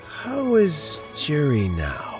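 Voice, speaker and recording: A man voicing a dignified, courteous older statesman in a video game, formal and deliberate in manner. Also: a little high, huge pitch swings, over music, heavily acted. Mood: inquiring. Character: dignified, courteous elderly male statesman, thoughtful and shrewd.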